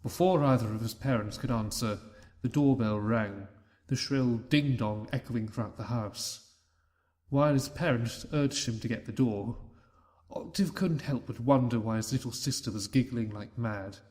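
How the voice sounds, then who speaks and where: A man narrating a fan-written story in a steady reading voice. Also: medium pitch, moderate pace. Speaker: reader.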